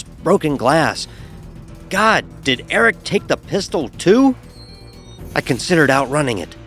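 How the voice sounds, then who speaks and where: An actor playing a frightened, sarcastic young fraternity pledge who narrates his story in a wry, vivid, emotionally charged way. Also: medium pitch. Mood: panicked. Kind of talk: first-person dramatic horror audio narration. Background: music.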